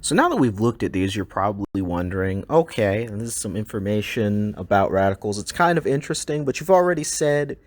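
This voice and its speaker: A man with a very deep, low voice explains a recorded slide lesson, speaking English as his first language. He talks in a relaxed, easygoing way, often pausing with ums and uhs. The sound is dry and close, with no room around it. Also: dry recording. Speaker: language teacher.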